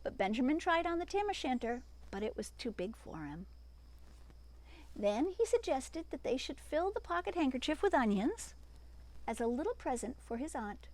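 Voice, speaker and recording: High, animated woman reading a storybook. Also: moderate pace. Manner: expressive, with chatty asides to young listeners. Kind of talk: animated children's storybook read-aloud.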